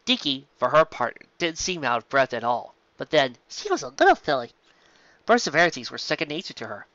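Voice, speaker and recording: A woman reading a story aloud as its narrator, in an expressive scripted style. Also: low voice, fairly quick, dry recording, highs cut off.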